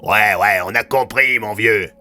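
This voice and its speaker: Man playing a slangy, very bright-voiced sidekick. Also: on the low side, measured pace, soft background music. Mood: weary teasing. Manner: slangy, familiar. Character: casual, cheeky male teammate, good-natured.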